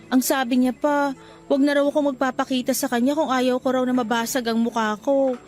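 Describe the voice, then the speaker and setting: An actress with a Filipino accent playing a meek, forgiving young woman in a radio drama, apologetic and soft-hearted. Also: sits high, flat delivery, brisk, soft background music, lightly acted. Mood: hurt, explaining. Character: meek, forgiving young woman excusing her jealous boyfriend.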